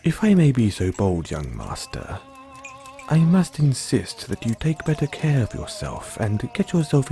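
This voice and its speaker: A man playing a polite, doting and gently teasing butler in an audio roleplay, speaking in a formal, measured way. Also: on the low side, vocal fry, heavily acted. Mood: courteous, caring.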